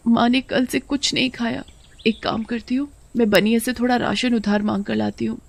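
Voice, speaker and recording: A poor young mother who works as a housemaid speaks in a storybook drama, acted by a woman. She is humble and anxious, pleading softly for help. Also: fairly high pitch, steady tone, moderate pace, gravelly, voice pushed up. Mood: worried. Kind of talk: Hindi moral-story character acting.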